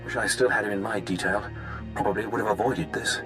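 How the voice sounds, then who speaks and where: An actor playing a plain-spoken, battle-weary army major in a video game, blunt, folksy and defiant. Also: male voice, on the low side, steady tone, fairly quick, loud music behind the voice, lightly acted. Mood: grim, grateful. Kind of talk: scripted video game acting.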